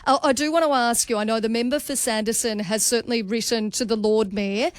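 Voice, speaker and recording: A woman's voice: fairly high pitch, steady tone, fairly quick, no room sound. An Australian woman hosting a live radio interview, with a direct, pressing way of questioning. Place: radio studio.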